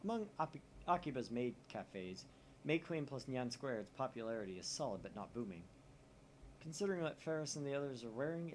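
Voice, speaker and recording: A man's voice: mid-range voice, a little hiss or hum. A young man hosting a gaming video, reading visual novel narration aloud with wry asides. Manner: wry, reads narration with commentary. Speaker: let's-play host.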